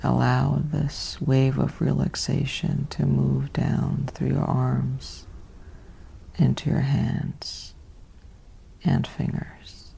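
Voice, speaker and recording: A woman softly guiding a sleep meditation in a hushed, half-whispered, soothing delivery with long pauses. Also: a bass voice, flat delivery, vocal fry, a little hiss or hum. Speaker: narrator of sleep stories and guided meditations. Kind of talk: slow guided sleep meditation, soothing bedtime reading.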